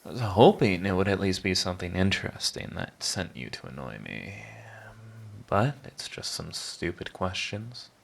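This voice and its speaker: A man's voice: low-pitched, expressive, gravelly, slight background noise. A man playing a sarcastic, flippant villain with a teasing, mocking delivery in a scripted audio roleplay. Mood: wry impatience. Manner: sardonic, theatrical teasing, drawn-out mocking delivery. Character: sarcastic male villain, flippant, prickly, begrudgingly charming.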